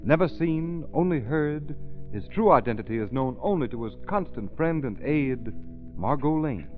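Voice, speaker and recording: 1930s male announcer, declamatory and lively, tinny radio sound with faint music. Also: a little high, normal speed. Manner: punchy, declamatory ad delivery.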